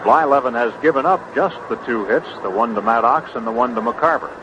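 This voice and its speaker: An American man calling baseball play-by-play in a conversational, unhurried way from a broadcast booth. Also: mid-range voice. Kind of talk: live sports commentary. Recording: muffled, noisy vintage baseball broadcast.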